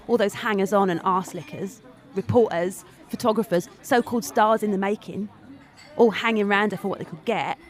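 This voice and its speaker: An actress playing a resentful, devoted woman confidante, delivering a scornful, confiding monologue in an audio drama. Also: on the high side. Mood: resentful, possessive.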